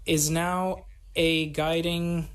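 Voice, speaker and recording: A man interpreting a formal speech live into English, phrasing things carefully and haltingly. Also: a little high, flat delivery, a bit bright.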